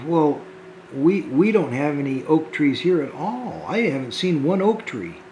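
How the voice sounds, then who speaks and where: A man hosting a homemade children's show, talking gently and hesitantly to the kids and often repeating words. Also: on the high side, sing-song, unhurried, reverberant, a little hiss or hum, poor audio.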